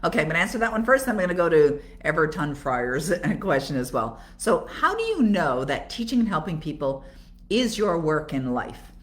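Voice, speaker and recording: A woman's voice: low voice, big pitch swings, normal speed. An older woman with a Canadian accent, speaking conversationally as a coach on a live Q&A and answering her own rhetorical questions as she explains. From Toronto, Canada. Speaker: coach and author hosting a live Q&A.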